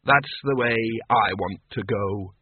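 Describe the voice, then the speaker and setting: A man's voice: fairly low pitch, slow, husky, no echo. A man doing a nervous, blunt wizard dean. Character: fussy, nervous middle-aged male wizard dean. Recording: narrow phone-like sound, dry.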